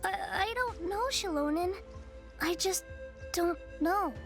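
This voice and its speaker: A meek, anxious young girl from a video game. Her voice is very high and slow, halting as she searches for words. Music plays clearly behind her. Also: expressive, heavily acted. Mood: scared, tearful. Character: timid, anxious young girl who lost her memory.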